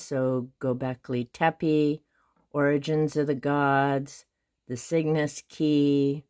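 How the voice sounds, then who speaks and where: A middle-aged woman, a native Hungarian speaker, calmly and methodically touring her bookshelves for her channel. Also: deep voice, clear, resonant tone. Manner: calm, methodical counting and listing. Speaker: booktube host. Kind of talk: casual shelf tour.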